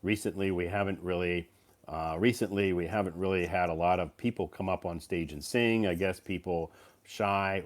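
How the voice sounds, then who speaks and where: Deep-voiced man rambling on a live stream. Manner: rambling, many fillers and restarts. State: recovering from a cold. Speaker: live stream host and English teacher. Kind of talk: casual live stream chat.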